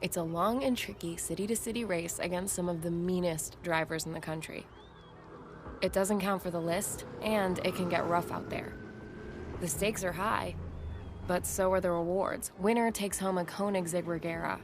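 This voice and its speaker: A woman's voice: lowish voice, fairly even intonation, normal speed, natural voice. Blunt, tough street-racing woman played by an actress. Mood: blunt, probing. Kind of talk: video game cutscene dialogue. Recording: vehicle and ambient noise behind.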